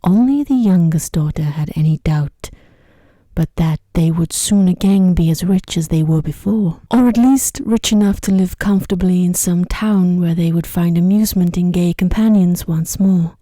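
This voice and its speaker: A woman reading a bedtime story in ASMR style, soft and hushed, unhurried, often slipping toward a breathy whisper, close to the microphone. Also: fairly low pitch, dry recording. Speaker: storyteller, narrator.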